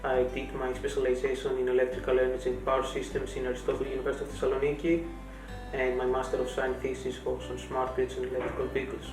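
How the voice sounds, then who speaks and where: A man from Greece, a researcher, listing his degrees in a flat way. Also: mid-range voice, fast talker. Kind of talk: interview to camera. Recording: echoey room from far off with music behind.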